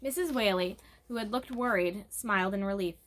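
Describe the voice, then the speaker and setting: A woman's voice: mid-range voice, normal speed, slight background noise. A woman reading a children's book aloud as narrator. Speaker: storybook reader.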